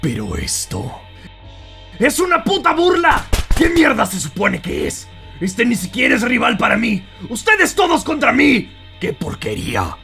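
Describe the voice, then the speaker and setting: A man acting a gravelly, explosive teen hothead. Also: extremely high pitch, wildly swooping pitch, measured pace, bright, forceful tone, faint music behind, full character voice. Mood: furious boasting. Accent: Latin American. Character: arrogant, explosive teenage male hothead, boastful and scornful. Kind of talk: anime comic fandub acting.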